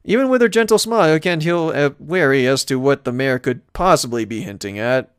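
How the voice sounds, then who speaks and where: A man reading a visual novel aloud as a let's player, casually narrating and adding halting, bemused asides. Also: a little high, lively intonation, smooth, no room sound, studio quality. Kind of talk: casual gameplay narration and commentary.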